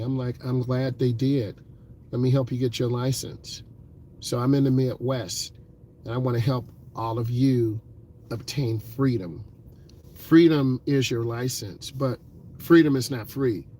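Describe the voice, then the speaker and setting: A man's voice: medium pitch, steady tone, measured pace, mellow, a little hiss or hum. A man working as a driving instructor, talking to the camera from inside a car in an earnest, motivational way, with many fillers and repeated points.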